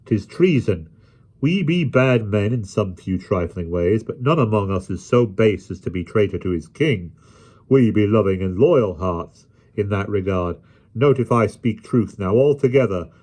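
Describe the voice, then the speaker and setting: A man's voice: fairly low pitch, expressive, measured pace, a little mellow, husky. A man voicing a burly, good-natured ruffian chief with firm, archaic rustic speech in a storybook. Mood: firm, kindly.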